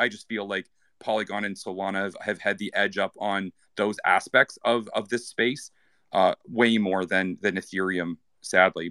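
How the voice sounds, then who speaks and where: A man's voice: low voice, fairly bright tone, no room sound, studio quality. A man from Canada chatting casually, rambling with many fillers and restarts. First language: English. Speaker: guest. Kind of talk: casual chat in an online audio space.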